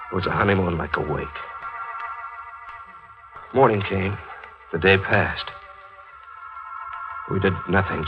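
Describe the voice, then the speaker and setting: Low-voiced haunted man, music behind. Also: lo-fi sound. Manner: brooding, intense, halting in dialogue. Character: tormented guilt-haunted man confessing a killing. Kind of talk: 1940s radio thriller acting, first-person narration. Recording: 1940s radio recording with music behind.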